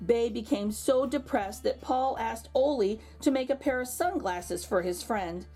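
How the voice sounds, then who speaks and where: A woman reading a picture book aloud to children as an expressive storyteller, with exclaimed asides to her young listeners. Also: on the high side, unhurried, faint music behind. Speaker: children's read-aloud host.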